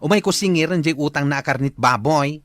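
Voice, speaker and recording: A woman's voice: low voice, fast, no room sound, lightly acted. A woman with an Ilocano accent playing a brash, combative pork seller out collecting debts in a comedy drama. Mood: insistent.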